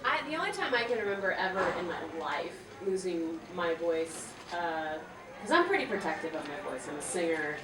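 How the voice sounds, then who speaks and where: A woman's voice: lowish voice, very animated, brisk. A woman voice actress and singer chatting on a convention panel, bubbly and peppered with "like". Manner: bubbly, lots of "like", laughs between words. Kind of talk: casual panel talk. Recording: echoey hall, distant mic, noisy.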